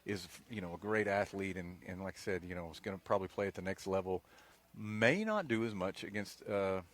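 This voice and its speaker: Low-voiced color commentator. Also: male voice, expressive, fairly bright tone. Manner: measured, explanatory, folksy. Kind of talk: local radio football commentary.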